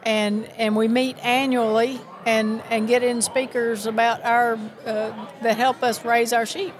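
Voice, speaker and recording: An older woman with a Southern accent talks in a somewhat high voice, warm in her chatter and quick to restart her sentences. She speaks earnestly in a live interview recorded at a convention. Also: little pitch movement. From Miami, Florida. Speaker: sheep producers association president. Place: busy convention hall.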